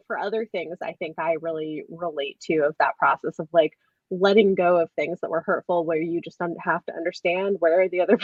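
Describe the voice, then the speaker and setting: Low-pitched woman, reflective, dull lo-fi audio. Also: no echo. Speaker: book discussion livestream host. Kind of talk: casual group book discussion. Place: online group video call.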